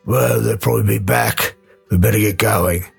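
A man voicing a tall, muscular, battle-scarred ex-pit fighter, gruff but loyal, with rough slangy speech, in a radio play. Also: lowish voice, fairly even intonation, moderate pace, faint music behind, voice pushed down, heavily acted. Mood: gruff, grumbling. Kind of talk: radio play character acting.

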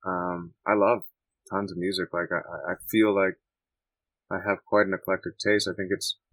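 A Canadian man answering interview questions in a thoughtful, halting way with many restarts. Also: deep voice, level tone, fairly bright tone, rough recording. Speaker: musician guest.

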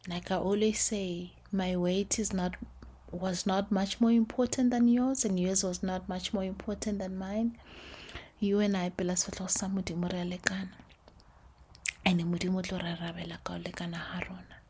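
A woman hosting a podcast, speaking softly and calmly in a reflective faith talk, slipping between English and Sesotho phrases. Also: mid-range voice, moderate pace, slightly rough. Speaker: podcast host.

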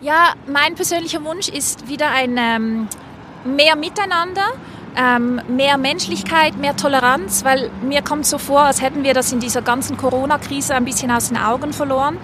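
A woman's voice: high voice, normal speed. A woman giving earnest, spontaneous answers in a casual interview recorded outdoors on a street. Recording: outdoor street noise, faint traffic. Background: faint urban ambience and vehicles.

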